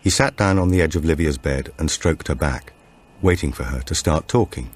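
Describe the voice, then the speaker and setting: A man narrating a suspense thriller audiobook in a measured way, with dramatic pauses. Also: very deep voice.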